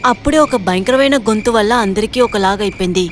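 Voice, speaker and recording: A woman narrator reading a scripted moral story for an animated video. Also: medium pitch, fast talker.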